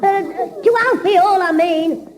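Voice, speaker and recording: A man's voice: very high-pitched, steady tone, a little mellow, a faint crowd. A man playing a cheeky, wisecracking young schoolboy in a 1960s radio sitcom. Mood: scheming. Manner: cheeky, wisecracking, quick retorts. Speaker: child character in radio comedy.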